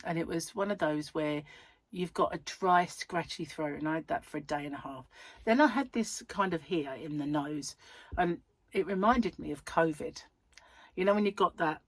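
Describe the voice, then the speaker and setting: Croaky, husky woman chatting. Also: sits low, no echo. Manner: rambling, chatty, self-mocking. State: croaky voice from a cold. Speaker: crochet channel host. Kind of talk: casual chatty vlog. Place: at home, seated in a chair.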